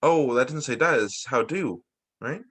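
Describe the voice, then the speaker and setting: A man's voice: mid-range voice, unhurried, slightly rough. A man reading a picture book aloud to young students as their teacher, expressively and with small asides. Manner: animated, explains and checks in with young listeners.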